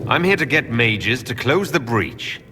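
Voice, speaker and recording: An actor playing a direct, composed hero in a fantasy video game, with a measured, businesslike manner. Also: male voice, mid-range voice, sing-song, sharp tone, husky, big-room sound, full character voice. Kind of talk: video game dialogue acting.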